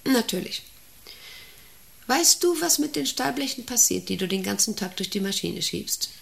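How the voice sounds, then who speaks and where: An actress in a radio play as a domineering, eccentric factory director who rambles, commands and asks intrusive questions. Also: female voice, mid-range voice, expressive, moderate pace, creaky, a bit bright. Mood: obsessive. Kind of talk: German radio play acting.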